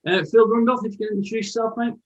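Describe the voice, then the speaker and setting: A man hosting an online networking call, calling people in turn in a brisk, informal way. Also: high voice, fairly even intonation, echoey room, highs cut off. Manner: brisk, informal, repeats "brilliant" between people. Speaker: host. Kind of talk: casual online meeting hosting. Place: video call. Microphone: video call.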